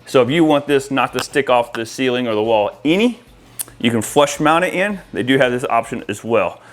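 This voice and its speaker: A man's voice: medium pitch, animated, normal speed. A man talking informally to viewers as an installer, walking through a product demo in a casual way and addressing them as guys. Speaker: audio-video installer presenting to viewers.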